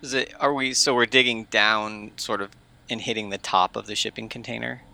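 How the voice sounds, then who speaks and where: A man's voice: on the low side, steady tone, moderate pace, creaky, bright, forceful tone. A man playing a tabletop game, casually improvising in and out of character in a hesitant, questioning way.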